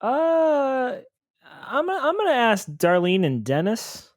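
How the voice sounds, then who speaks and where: A man's voice: very high voice, wildly swooping pitch, takes it slowly, no echo, clean studio sound. A man playing a tabletop role-playing game, casually improvising and repeating words as he thinks aloud. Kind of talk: casual in-character improvised chat.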